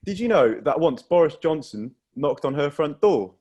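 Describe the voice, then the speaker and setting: A man's voice: a little high, big pitch swings, normal speed, dark tone, dull audio. A young man from Wales performing a self-deprecating stand-up set over a video call, often laughing through his own jokes. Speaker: stand-up comedian.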